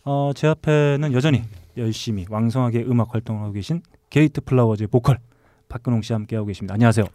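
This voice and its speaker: A man's voice: medium pitch, fast, warm tone, dry recording, clean studio sound. A man hosting a music podcast, bantering with his co-hosts.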